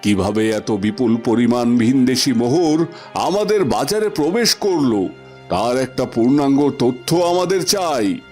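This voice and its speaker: A man's voice: mid-range voice, animated, measured pace, warm tone, soft background music, lightly acted. A man playing a stern king in a fairy-tale cartoon, formal and authoritative. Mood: commanding. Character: commanding, stern king ordering his court.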